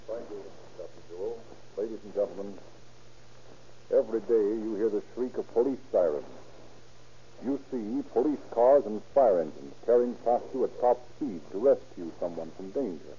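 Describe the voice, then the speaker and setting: A man's voice: lowish voice, warm tone. A man announcing in a formal, scripted 1930s radio advertising style. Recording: muffled, noisy 1930s radio recording.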